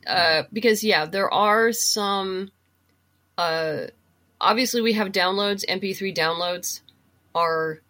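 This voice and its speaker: A woman's voice: mid-range voice, slow, sharp tone. A woman talking casually and enthusiastically as a guest in an interview, in a chatty way. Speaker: guest, writer and audio drama producer.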